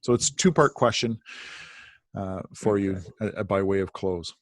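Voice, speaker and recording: A man's voice: low voice, a little slow, dry recording. A man hosting a video call interview, speaking with many filler sounds. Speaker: interview host.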